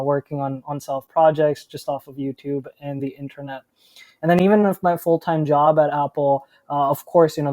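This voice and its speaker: Young man from India, mellow and flat. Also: a little high, no room sound. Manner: chatty, with frequent fillers and restarts. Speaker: startup founder guest. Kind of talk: casual interview.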